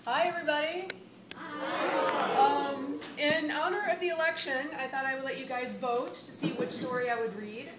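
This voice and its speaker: A woman's voice: high-pitched, moderate pace. A middle-aged woman author chatting casually to a live audience at a reading event. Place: small bar reading room, indoors. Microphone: stand microphone, filmed from the audience. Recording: roomy, noisy bar on event microphone.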